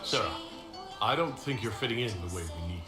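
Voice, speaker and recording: A man's voice: fairly low pitch, expressive, fairly quick, lightly acted. A man playing a curious leader with dry wit. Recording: roomy game audio with music.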